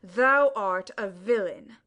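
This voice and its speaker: A woman's voice: medium pitch, takes it slowly, fairly bright tone. A woman playing a haughty, aggressive young duelist in a Shakespeare audiobook, with curt, challenging delivery. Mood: hostile, challenging. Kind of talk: Shakespeare audiobook dramatic reading.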